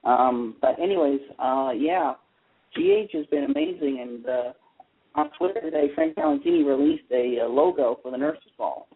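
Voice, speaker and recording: A man chatting casually and wryly as an opinionated panelist on a fan talk show, with a husky voice. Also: medium pitch, sing-song, normal speed. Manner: opinionated, wry. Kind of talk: casual soap opera fan chat. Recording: narrow tinny phone-line sound.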